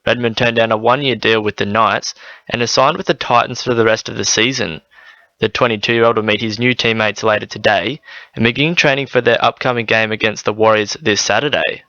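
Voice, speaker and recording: Man, Australian accent, sports reader, bright forceful voice, scripted delivery, dry slightly muffled audio. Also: mid-range voice, no room sound. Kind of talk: scripted radio sports report. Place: dry radio studio.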